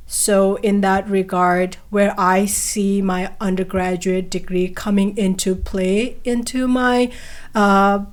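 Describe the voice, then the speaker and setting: A woman's voice: mid-range voice, steady tone, slow. A young woman from Nepal, a guest on a podcast interview, speaking hesitantly with many fillers and restarts. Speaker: guest, PhD candidate.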